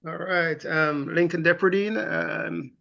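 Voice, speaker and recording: A man's voice: fairly high pitch, fairly even intonation, takes it slowly, vocal fry, bright, forceful tone, muffled recording. A man from Grenada, a journalist guest on a talk show, introducing himself in brief, hesitant phrases.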